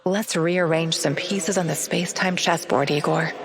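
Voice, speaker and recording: An actress playing a calm, enigmatic woman narrating a video game in a cool, measured, mysterious way. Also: lowish voice, close to an everyday voice. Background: music.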